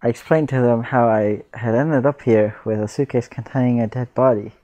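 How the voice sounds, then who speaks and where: Male online reader-reactor, mid-range voice, casual and expressive, close dry recording. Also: mid-range voice, normal speed, no room sound, muffled recording. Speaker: reactor reading a horror comic aloud. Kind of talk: casual read-aloud with spontaneous reactions.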